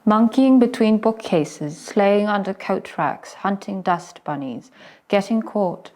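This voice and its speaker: Slow-paced woman reciting poetry. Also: medium pitch, expressive, mellow. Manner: measured, fragmentary phrasing with deliberate pauses.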